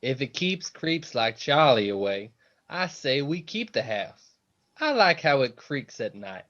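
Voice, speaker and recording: Casting: man as sarcastic, cheeky teen boy for radio play, medium pitch, lo-fi recording. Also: very animated, unhurried, fairly bright tone. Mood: wry. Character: cheeky, sarcastic teenage son. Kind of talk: amateur radio drama acting.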